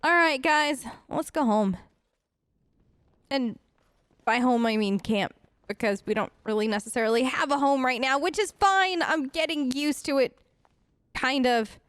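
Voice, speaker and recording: A woman's voice: sits high, measured pace, pauses often, a bit bright, smooth, no room sound. A woman doing playful, informal role-play commentary as she hosts a video game let's play, chatting in character. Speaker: gaming host.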